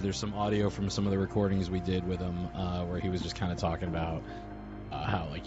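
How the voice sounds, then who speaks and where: A man hosting a casual group call, speaking in an informal, rambling and hesitant way as he reminisces. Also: low voice. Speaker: host of a memorial group call. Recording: dull lo-fi audio with music behind. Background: music.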